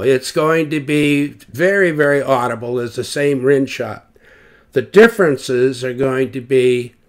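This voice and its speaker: A man giving an informal technical explanation as an expert, posing questions and then answering them. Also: medium pitch. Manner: explanatory, self-correcting, rhetorical questions. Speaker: loudspeaker designer explaining measurements.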